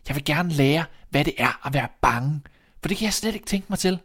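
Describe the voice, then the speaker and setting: A man's voice: on the high side, expressive, a bit bright, husky, pitched above the performer's own voice. A man voicing a naive, fearless young son in a fairy tale, plain-spoken and insistent. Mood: naive, puzzled. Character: dim-witted fearless youngest son, earnest and naive boy.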